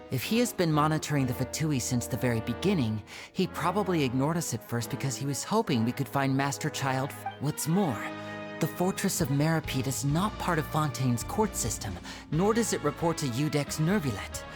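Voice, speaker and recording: A man's voice: a little high, close to an everyday voice. A man playing a clever, polite young sleuth in a video game, speaking in a methodical, articulate way.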